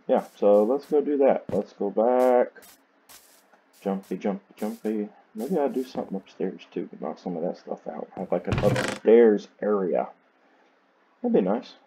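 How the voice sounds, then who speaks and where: A man with a Southern US accent gives casual, rambling game commentary in a lowish voice. He talks at a very unhurried pace, mostly to himself. Clear background noise and clicks run through the home recording. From Southern United States. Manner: self-talking, rambling. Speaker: gaming series host.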